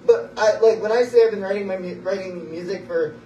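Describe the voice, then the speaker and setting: A man's voice: sits high, brisk, mellow, a little hiss or hum. A young man chatting casually between songs at an open mic, rambling self-consciously and often trailing off. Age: young adult. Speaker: amateur singer-songwriter at an open mic. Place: small wood-ceilinged open mic venue, indoors. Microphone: phone in the audience, far from stage. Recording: distant, roomy, dull phone recording.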